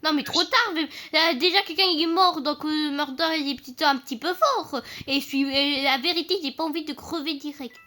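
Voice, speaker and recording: Woman, high voice, bright, excitable, hesitant, slangy, casual chat during a game. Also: normal speed, hardly any pauses. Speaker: co-player.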